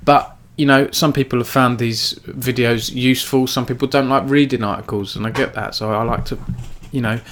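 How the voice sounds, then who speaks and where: Male news host, casual roundup, steady monotone with frequent fillers. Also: medium pitch, slight background noise. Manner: self-described monotone, filler-heavy delivery. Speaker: esports news editor and host.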